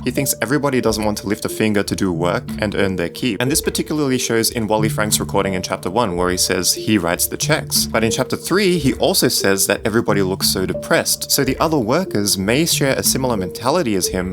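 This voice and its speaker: A man hosting a video, narrating a scripted game guide. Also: lowish voice. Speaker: video host. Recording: music behind the voice. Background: music.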